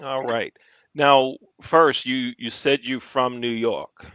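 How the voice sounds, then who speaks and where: A man's voice: mid-range voice, steady tone, takes it slowly, fairly bright tone, clean tone. A man hosting a conversational interview, repeating himself for emphasis and often checking that the listener follows. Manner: emphatic repetition, checks in with "right?". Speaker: interview host.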